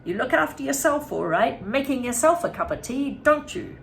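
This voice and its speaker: A woman voicing a greedy, bossy, enormous old grandmother who shouts and scolds in a storybook reading. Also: high-pitched, animated, normal speed, vocal fry, slight background noise, pitched above the performer's own voice, heavily acted. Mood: bossy shouting. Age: older. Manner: shouting, scolding, self-pitying.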